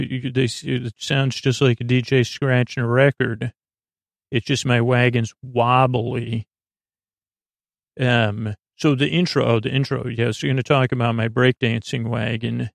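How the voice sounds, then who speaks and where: A man hosting a bedtime podcast in a meandering, halting monologue that trails off into tangents. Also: mid-range voice, little pitch movement, creaky, no echo, clean studio sound. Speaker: podcast host.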